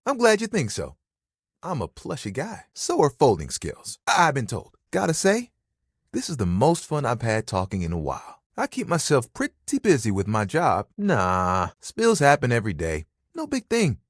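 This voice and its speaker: Bashful young towel guy, dating game character, punning and rambling, husky edge, dry close recording. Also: mid-range voice, huge pitch swings, moderate pace, no room sound. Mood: friendly, modest. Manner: rambling, self-conscious, casual, punning. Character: talking bath towel, bashful, eager, laid-back young guy. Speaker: video game voice actor.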